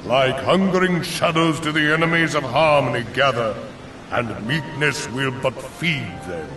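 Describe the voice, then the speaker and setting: A man's voice: mid-range voice, unhurried, close to an everyday voice. A man voicing a solemn, stern ancient god in a fantasy video game, speaking in grave, archaic pronouncements. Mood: stern warning. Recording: distant, echoing voice over loud music.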